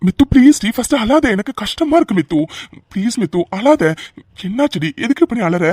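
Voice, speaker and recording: A man's voice: very high voice, very animated, brisk, darkish tone, no echo, clean studio sound, full character voice. A young man voicing a doting, protective husband who coaxes and pleads affectionately. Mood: consoling. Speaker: voice actor. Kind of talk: audio drama acting.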